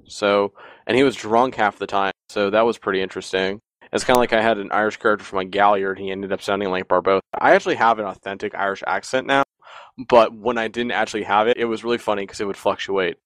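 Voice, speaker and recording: Low-voiced man rambling through stories. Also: fast talker, no room sound. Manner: rambling, animated storyteller who often laughs. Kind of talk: casual podcast chat.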